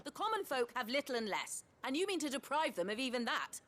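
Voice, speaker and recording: A woman's voice: high-pitched, very animated, a little fast, fairly bright tone. An actress playing a defiant, righteous woman in a fantasy game, with a pointed, formal, impassioned manner. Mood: indignant challenge. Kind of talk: scripted fantasy game acting.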